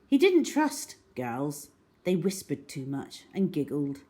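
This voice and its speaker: A woman storyteller reading a children's story aloud in an expressive, dramatic way. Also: sits low, takes it slowly. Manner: dramatic, animated.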